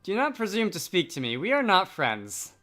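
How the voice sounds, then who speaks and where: A man's voice: high-pitched, huge pitch swings, normal speed, bright, forceful tone, faint music behind, full character voice. A man voicing a haughty prince from a game, curt, formal and scornful of commoners.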